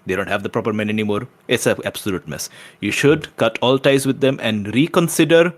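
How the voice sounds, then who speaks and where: A man playing a brash duelist in a tabletop game, emphatic and persuasive, mixing formal flattery with scorn. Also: medium pitch, a little fast, natural voice. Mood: flattering, scornful.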